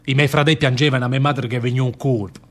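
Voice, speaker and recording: A man's voice: mid-range voice, fairly even intonation, rapid-fire, close to an everyday voice. Radio drama actor as simple, confessional Lombard workman: mid-range male voice, stubborn, plaintive, repetitive dialect delivery. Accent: northern Italian, Lombard. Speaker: stage actor in a radio theatre play. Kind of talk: dialect theatre acting.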